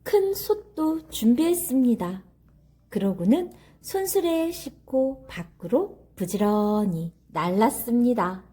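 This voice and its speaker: A woman's voice: fairly high pitch, lively intonation, takes it slowly, warm tone, breathy edge. A woman narrating a children's story expressively, in a careful, teacherly way.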